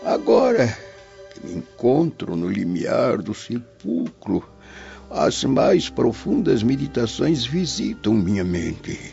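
A man's voice: mid-range voice, very animated, takes it slowly, creaky, dark tone, full character voice. An actor playing a dying, philosophical old Roman father in a Brazilian radio drama, grave and tender, declaiming solemnly. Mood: solemn, consoling. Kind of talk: dramatic radio play acting. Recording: dull lo-fi radio drama, music behind.

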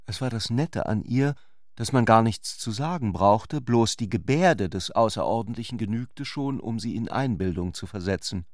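A man's voice: fairly low pitch, expressive, moderate pace, no room sound, studio quality. A man narrating a literary radio drama from a script.

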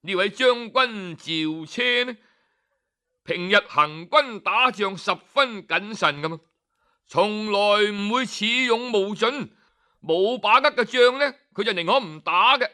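Slow, bright-voiced Hong Kong Cantonese storyteller. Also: male voice, high-pitched. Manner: leisurely, expressive, with drawn-out particles and dramatic pauses.

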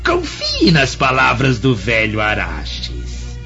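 Old male sage, very slow, swooping intonation, cryptic, measured, radio drama, music behind. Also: medium pitch, huge pitch swings, vocal fry, lo-fi sound, exaggerated voice. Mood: sage, reassuring. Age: older. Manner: solemn, measured, sententious. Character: old male soothsayer, calm, cryptic adviser.

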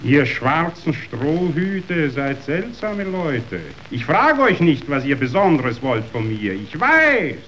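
An actor playing a ruthless yet sentimental meat magnate in a verse radio drama, declamatory, wheedling and self-pitying. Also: male voice, fairly high pitch, big pitch swings, slow, hardly any pauses, fairly bright tone, heavily acted. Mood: condescending, musing. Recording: dull radio recording, faint noise.

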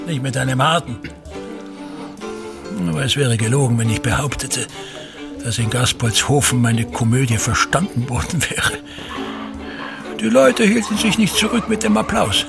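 Pompous, swooping theatre tyrant. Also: male voice, mid-range voice, lively intonation, normal speed, gravelly, long pauses, over music. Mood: grumbling, disdainful. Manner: declamatory, contemptuous, obsessively repetitive. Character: pompous, tyrannical ageing actor-manager berating his wife. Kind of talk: theatrical German radio drama monologue.

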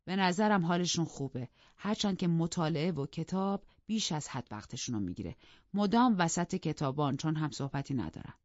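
A woman playing a sensible, dutiful housekeeper with a lowish, steady voice, answering her mistress calmly and politely. The sound is dry and a bit muffled, read close in a booth. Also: dry recording. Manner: calm, even, polite replies. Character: level-headed woman housekeeper, calm and dutiful.

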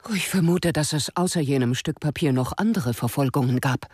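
A husky, grave mystery character. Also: male voice, on the high side, a little fast, darkish tone, no echo, clean studio sound. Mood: grave, regretful. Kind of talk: German radio drama dialogue.

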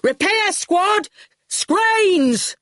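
A woman's voice: mid-range voice, expressive, measured pace, a bit bright, no room sound. Woman playing female gang boss, storybook character, bossy, growling, colloquial, dry close sound. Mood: angry. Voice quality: growling, bellowing. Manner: colloquial, brash, barking orders.

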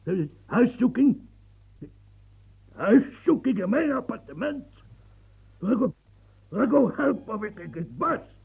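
An actor in a radio play voicing a husky, fiery old marshal in his seventies, who rants and stammers when flustered. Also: sits high, very animated, slow pace, pauses often, narrow, tinny audio, heavily acted. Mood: indignant flustered. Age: 70s. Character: old marshal of seventy-three, fiery, long-winded reformer.

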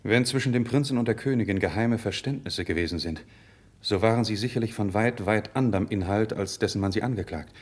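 An actor playing a composed, earnest nobleman advising his king in a classical radio drama, formal, deliberate and persuasive. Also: lowish voice, husky. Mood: measured, persuasive. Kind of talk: 1950s German classical radio drama acting.

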